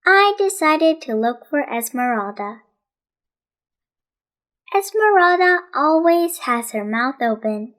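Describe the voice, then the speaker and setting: A woman with a very high voice narrates a children's storybook. She reads at a slow, deliberate pace, in the measured manner of an audiobook storyteller. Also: expressive, a bit bright.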